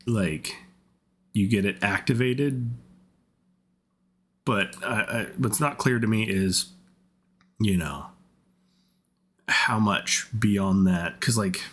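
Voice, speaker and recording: A man giving casual gaming commentary in a rambling, think-aloud way, his voice rough from repeated colds. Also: on the low side, a bit bright. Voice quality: husky, rough voice. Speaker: game content creator.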